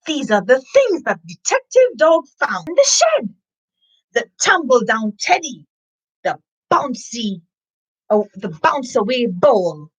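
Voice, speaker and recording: A woman presents a children's story time with a fairly high voice and very lively intonation. She takes her time, moving between chatty asides to listeners and expressive picture-book delivery. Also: takes it slowly, husky, poor audio. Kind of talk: live chat and expressive picture-book reading.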